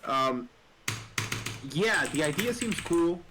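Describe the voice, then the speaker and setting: A man's voice: a little high, lively intonation, takes it slowly. A man chatting casually in a hesitant, rambling way, often saying "like". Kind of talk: casual chat. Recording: noticeable background noise with typing. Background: keyboard typing and clicks.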